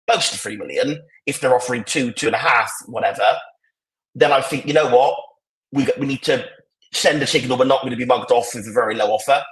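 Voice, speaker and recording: A man's voice: mid-range voice, a bit bright. Tired man talking football on a podcast. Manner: rambling, opinionated, many restarts. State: croaky and tired. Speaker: podcast panelist. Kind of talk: casual podcast discussion. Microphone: AirPods on a video call. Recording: echoey, poor sound quality.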